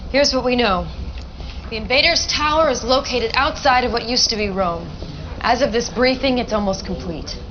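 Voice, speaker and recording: An actress playing an earnest, businesslike military intelligence officer giving a briefing in a video game. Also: female voice, fairly high pitch, natural voice. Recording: dull lo-fi audio, faint noise.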